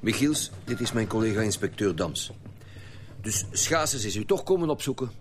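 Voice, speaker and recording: An actor playing a blunt, commanding chief homicide detective with a Flemish accent in a radio drama. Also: male voice, lowish voice, expressive, normal speed, faint music behind, close to an everyday voice. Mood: stern, accusing.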